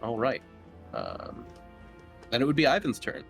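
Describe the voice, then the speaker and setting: A man acts as game master for a tabletop session, narrating scenes and calling for rolls informally. His voice sits at an average pitch, and faint music plays behind him. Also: huge pitch swings, a little slow, creaky.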